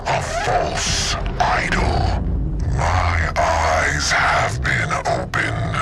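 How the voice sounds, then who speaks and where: An actor playing a grave, wrathful demonic lord in a video game, with a very deep gravelly voice and grandiose, accusing delivery. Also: male voice, drawn-out words, heavily acted. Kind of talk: dramatic video game acting. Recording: distant, echoing, under loud music.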